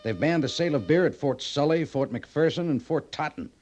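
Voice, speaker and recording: An actor playing a harried, authoritative American army major in an old-time radio western, on a muffled old recording. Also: male voice, fairly high pitch, lively intonation, fairly quick, a little mellow, natural voice. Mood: defensive, explaining. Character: harried, defensive army major commanding a frontier post.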